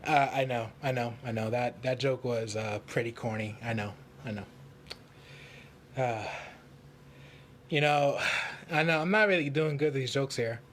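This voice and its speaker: A man hosting a children's storytime in casual livestream chat, with a goofy, joking manner and drawn-out delivery. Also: medium pitch, fairly bright tone, slight background noise.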